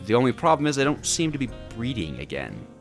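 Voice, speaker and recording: Expressive man narrating gameplay over faint music. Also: mid-range voice, fast, creaky. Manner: theatrical, wry exasperation. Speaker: video game player narrating his own playthrough. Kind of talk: scripted gameplay narration with dramatized reactions. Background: background music.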